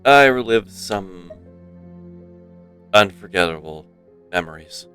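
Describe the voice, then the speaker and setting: A man's voice: sits low, animated, takes it slowly, fairly bright tone. A man doing casual live commentary on a game as a streamer, with wry asides. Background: game music.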